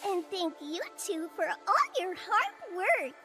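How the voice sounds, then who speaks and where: A woman's voice: very high-pitched, very animated, slow pace, heavily acted. A woman voicing a sweet, polite and brave little girl rabbit in a video game, innocent and earnest. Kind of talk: video game cutscene acting. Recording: loud music behind.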